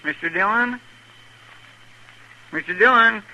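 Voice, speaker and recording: A man's voice: high voice, slow, bright, forceful tone, heavily acted. A man playing a loyal, folksy deputy with a drawling rural American accent in a western radio drama. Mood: anxious. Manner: drawling, homespun, deferential to his boss. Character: folksy, loyal, plain-spoken marshal's deputy sidekick. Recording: noisy vintage radio recording.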